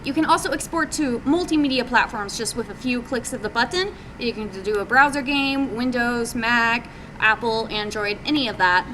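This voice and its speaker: Woman doing a laid-back game dev talk, kinda hesitant, bright crisp-edged voice, bit of noise behind her. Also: a little high, normal speed, poor audio. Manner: hesitant, conversational, casual phrasing. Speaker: indie game developer presenting at a meetup. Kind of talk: informal live presentation. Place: live group meeting, presenting to attendees. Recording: live meetup recording with background noise.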